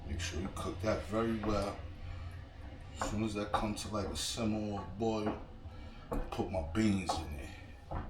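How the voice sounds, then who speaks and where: A man with a New York accent and a slightly hoarse, froggy voice, chatting casually to viewers while he cooks in his home kitchen. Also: lowish voice, measured pace, long pauses, music in the background. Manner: laid-back slangy chatter to viewers. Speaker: home cooking vlogger. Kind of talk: casual cooking vlog talk. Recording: echoey room with background noise.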